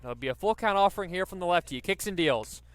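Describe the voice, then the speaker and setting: A man's voice: high-pitched, expressive, fast talker, clean tone. A man doing live radio baseball play-by-play, describing the action and working in stats as he goes. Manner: descriptive, stat-laden delivery.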